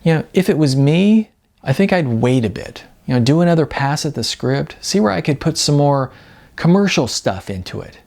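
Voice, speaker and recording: Narrator doing a bubbly, blunt young actress, animated. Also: mid-range voice, moderate pace, gravelly, voice pushed up. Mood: earnest, exasperated. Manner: animated, casual, hedging in bursts.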